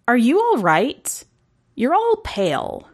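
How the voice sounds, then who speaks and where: A woman's voice: a little high, huge pitch swings, slow pace, pitched above the performer's own voice, full character voice. A woman voicing a blunt, dismissive, world-weary young city girl in an audiobook story. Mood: probing. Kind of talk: audiobook character dialogue.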